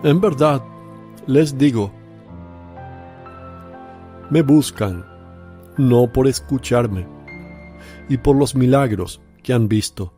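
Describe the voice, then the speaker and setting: Radio play: Jesus, gentle grave preacher, mellow low voice, unhurried, faint music under, played by a man. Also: natural voice. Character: Jesus, calm gentle male teacher and preacher. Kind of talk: radio drama acting.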